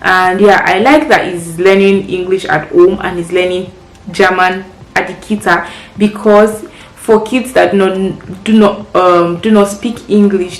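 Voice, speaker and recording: Chatty woman, echoey room. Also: fairly low pitch. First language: Yoruba. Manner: chatty, friendly, practical tips. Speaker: parent vlogger. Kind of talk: casual advice vlog. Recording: echoey room with faint music.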